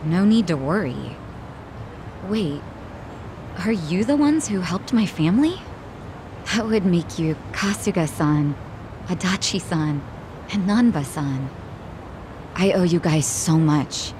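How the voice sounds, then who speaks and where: A woman's voice: lowish voice, animated, takes it slowly, pauses often, noisy background. An actress playing a worried, earnest young woman in a dramatic video game. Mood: grateful, earnest. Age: young adult. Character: worried young hostess, guarded, earnest twin sister.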